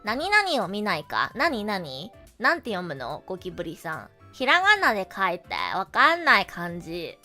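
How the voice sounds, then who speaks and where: A woman streamer chatting casually with her viewers, animated and swearing freely, her throat a bit sore from a coming cold. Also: medium pitch, quick pace, few pauses, soft background music. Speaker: VTuber streamer and voice actor. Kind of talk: casual livestream chat.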